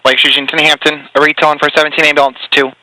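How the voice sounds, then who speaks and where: A man's voice: a little high, flat delivery, racing, sharp tone, dry recording, rough recording. A man working as an emergency fire dispatcher, relaying calls over two-way radio in clipped, procedural phrases. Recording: compressed radio dispatch audio with hiss.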